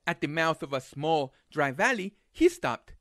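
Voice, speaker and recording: A man reading a children's picture book aloud as a storyteller, with dramatic, deliberate phrasing. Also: on the high side, sing-song, sharp tone, dry recording, studio quality.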